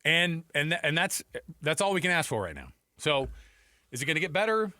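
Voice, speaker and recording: Casting: American male sports radio host, bright and punchy, dry studio mic, casual opinionated commentary with rhetorical questions. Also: on the high side, sing-song, brisk, no room sound. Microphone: close studio headset mic.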